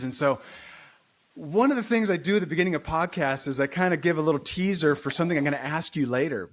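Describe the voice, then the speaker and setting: A man's voice: fairly high pitch, a bit bright. A man hosting a faith podcast, introducing the episode and guest in an upbeat, encouraging way. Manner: upbeat and encouraging, rapid bursts. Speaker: podcast host.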